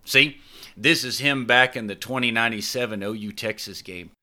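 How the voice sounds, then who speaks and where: A man's voice: medium pitch, normal speed, bright, forceful tone, voice pushed up. A man voicing a big, downcast young ex-player who talks offhandedly in an audiobook. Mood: distracted. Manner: casual, offhand, slangy.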